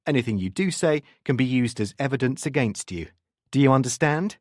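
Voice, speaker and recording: A narrator voicing a firm, authoritative male police detective sergeant with a brisk, commanding manner. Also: mid-range voice, animated, dry recording, clean studio sound, lightly acted. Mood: stern.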